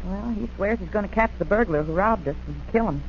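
An actress playing a chatty, trusting farm wife with homespun rural speech in a 1940s radio drama. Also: female voice, medium pitch, brisk, natural voice. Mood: gossipy. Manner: folksy rural idiom. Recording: dull, noisy 1940s radio recording.

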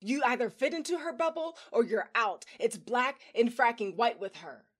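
A woman's voice: on the high side, animated, moderate pace. A woman playing a blunt, sardonic comrade of a Sith in an audio drama, confrontational and exasperated. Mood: exasperated, challenging.

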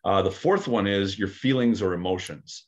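Low-voiced guy musing on a podcast, lots of ums and restarts, kinda muffled lo-fi audio. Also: moderate pace. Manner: thoughtful, hesitant, many fillers and restarts. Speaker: aikido practitioner, podcast co-host. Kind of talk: casual podcast discussion.

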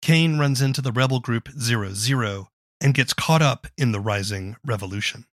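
A man hosts a podcast, reading prepared narration. His voice has a middling pitch, and the recording is very dry with no room sound. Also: normal speed, no room sound. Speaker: podcast host and interviewer. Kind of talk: scripted narration.

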